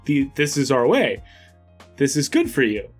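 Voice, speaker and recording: A man's voice: medium pitch, very animated, moderate pace, soft background music. A man chatting casually as a player in a tabletop roleplaying game, working out his arguments. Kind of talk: casual chat, in-game discussion.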